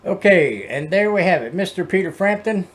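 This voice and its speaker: A man giving casual music commentary as a host, explaining things in a halting, rambling way. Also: sits high, lively intonation, heavy background noise. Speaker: host of a music reaction video.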